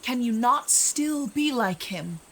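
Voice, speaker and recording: A woman playing a grave, wise guardian of a magical island in an audio drama, measured and probing. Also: on the high side, animated, a bit bright, full character voice. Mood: solemn, challenging.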